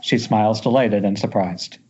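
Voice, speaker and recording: A man's voice: on the low side, moderate pace, mellow, a little hiss or hum. A man reading scripted stage directions as narrator at an amateur table read. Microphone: Skype call. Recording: dull lo-fi internet call.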